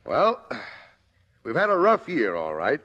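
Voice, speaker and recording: A man's voice: medium pitch, huge pitch swings, measured pace, sharp tone, husky, exaggerated voice. An American man acting a harried middle-aged football coach in a 1950s radio comedy, emphatic and prone to stammering. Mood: earnest, sheepish. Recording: dull, band-limited old radio recording.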